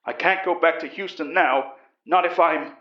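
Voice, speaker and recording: A man's voice: on the high side, normal speed, natural voice. A man voicing a tired, angry and fearful American astronaut in a horror story, emotional and defiant. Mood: sad. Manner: warning, emotional. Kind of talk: storytelling character voice. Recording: dull, roomy recording.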